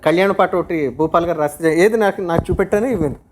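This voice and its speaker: Very fast-talking man, faint background noise. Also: fairly high pitch. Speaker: film team member in a promotional interview. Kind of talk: casual anecdotal chat.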